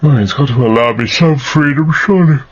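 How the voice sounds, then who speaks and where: An actor playing a troubled, weary gentleman adventurer in a radio drama, in a brooding, understated way. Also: mid-range voice, a little fast, dull audio, a little hiss or hum, natural voice. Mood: wry.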